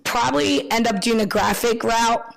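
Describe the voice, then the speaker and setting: Woman guest, tri-state accent, gravelly vocal fry, candid and filler-heavy. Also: on the high side, moderate pace, crowd noise. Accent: tri-state (Pennsylvania/Maryland area). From Pennsylvania. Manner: candid, with frequent ums. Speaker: guest photographer. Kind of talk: casual interview conversation.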